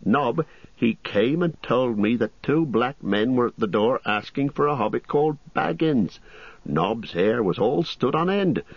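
Man acting a flustered innkeeper, raised swooping pitch, folksy chatter, storybook character, slightly muffled audio. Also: animated, measured pace, slightly rough, dry recording. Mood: worried, gossipy. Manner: rustic, chatty, folksy turns of phrase. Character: fussy, flustered middle-aged male country innkeeper.

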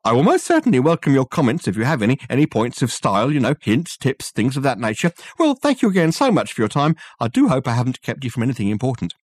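A man's voice: mid-range voice, sing-song, fast, hardly any pauses, darkish tone, slightly rough, dry recording. A man voicing an effusive, self-satisfied male detective who talks in flowery, rambling sentences.

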